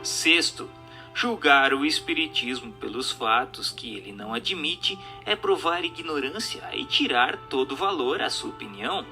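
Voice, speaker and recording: A man's voice: medium pitch, animated, a little slow. A man with a Brazilian accent reading a scripted text in an emphatic, declamatory, didactic manner. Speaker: narrator. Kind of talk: scripted narration of a spiritist text. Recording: music bed under the voice. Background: music.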